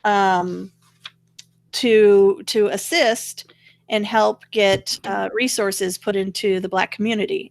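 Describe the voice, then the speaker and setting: A woman's voice: mid-range voice, gravelly, dry recording. Casual woman host in a dry room. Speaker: talk show host. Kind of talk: casual conversational commentary.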